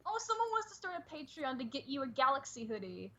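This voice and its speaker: A woman's voice: sits high, sing-song, quick pace, sharp tone. A woman chatting casually and earnestly as a guest on a livestream, over dull, lo-fi call audio. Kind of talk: casual chat.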